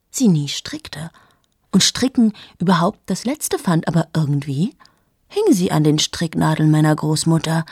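An actress in her thirties playing a cynical, sharp-tongued journalist in a radio play monologue, speaking in sardonic, confiding asides to the listener. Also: lowish voice, slightly rough, no echo, clean studio sound. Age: 30s. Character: cynical 38-year-old woman journalist, sharp-tongued, mocking.

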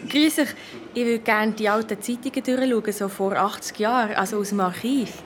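Schoolgirl detective woman actor, Swiss accent, colloquial, curious, cheeky, expressive, for children's audio drama. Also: fairly high pitch, normal speed, a little hiss or hum, lightly acted. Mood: eager, polite. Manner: colloquial, expressive, thinks aloud. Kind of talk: children's radio detective play, first-person narration and dialogue.

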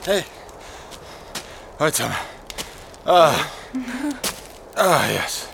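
An actor playing an injured, defensive young man in colloquial radio drama dialogue, with a creaky voice. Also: fairly high pitch, big pitch swings, drawn-out words, heavily acted. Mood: pained, strained. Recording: noisy ambient scene.

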